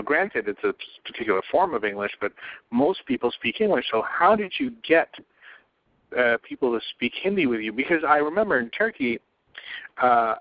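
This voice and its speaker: A man from Pakistan hosting an interview, framing his questions haltingly with restarts and fillers. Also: mid-range voice, dry recording. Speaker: host. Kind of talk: casual interview talk. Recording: dull lo-fi sound, dry.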